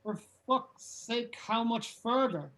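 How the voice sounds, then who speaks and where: A woman's voice: on the high side, lively intonation, slow pace, fairly bright tone. A woman reading the part of a harried, sweary young band member fleeing monsters in a horror comedy table read. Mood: exasperated.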